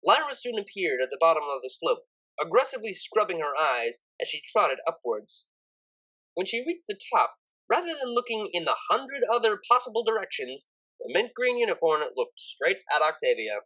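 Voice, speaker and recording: A woman reading scripted fiction aloud as narrator in an expressive storytelling style. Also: lowish voice, moderate pace, rough recording.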